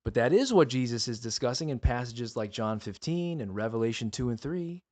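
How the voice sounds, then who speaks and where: A man delivers an earnest Bible lesson as a solo podcast host. His voice sits at a medium pitch. He speaks emphatically, leaning on rhetorical questions and repeated appeals to the listener. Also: lively intonation, fairly quick, darkish tone.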